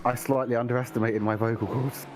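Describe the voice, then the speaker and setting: A man commentating a long race, hoarse from hours of talking, friendly and teasing with halting speech. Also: mid-range voice, level tone, noisy background. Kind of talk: casual commentary chat.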